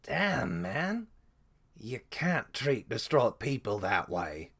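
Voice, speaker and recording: A man's voice: medium pitch, very animated, takes it slowly, creaky, fairly bright tone, breathy edge, full character voice. A man playing a brash, laid-back monkey warrior in an audio fantasy drama, talking in casual slang with swearing. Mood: chiding. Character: brash, laid-back male monkey-like Venara fighter who likes a drink.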